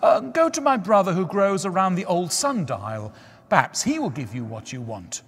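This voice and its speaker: A proud, aloof rose tree in a storybook, as a man puts it on declaims high and loftily. The voice is breathy and drawn out. A long hall reverb follows it. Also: big pitch swings, normal speed, exaggerated voice. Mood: lofty.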